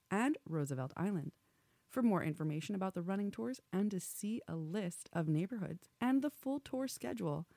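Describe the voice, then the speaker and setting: Twenties gal hosting a chatty radio show, dry sound, brisk reads. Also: female voice, fairly low pitch, creaky, darkish tone, no echo. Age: 20s. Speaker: podcast host. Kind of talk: casual chat and read-out plugs.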